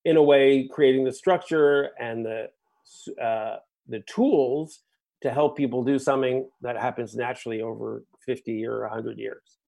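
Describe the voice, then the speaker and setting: A man from the United States, here as a guest musician in a relaxed interview. He speaks in long, winding, reflective thoughts and keeps checking in with his host through little asides like "right?" and "you know". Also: medium pitch, moderate pace, gravelly.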